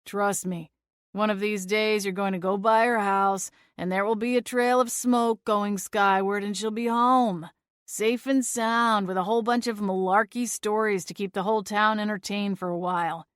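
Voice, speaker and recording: A woman voicing a gruff, dismissive male sheriff in a storybook reading, talking in an exasperated, lecturing way. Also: medium pitch, steady tone, moderate pace, dry recording, clean studio sound, natural voice. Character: gruff dismissive small-town male sheriff, long-winded.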